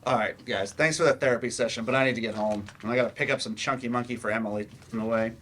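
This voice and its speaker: An actor playing a working-class adult student in a staged play reading. The delivery is quick and blunt, with a weary, plain-spoken feel. The pitch sits in the middle. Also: fairly even intonation, fairly bright tone, a little hiss or hum, close to an everyday voice.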